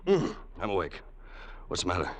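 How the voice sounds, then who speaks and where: An actor playing a loyal, wary gangster's sidekick in an old radio crime drama, colloquial and hardboiled. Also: male voice, low-pitched, sing-song, normal speed. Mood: hesitant, wary. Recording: noisy old radio recording.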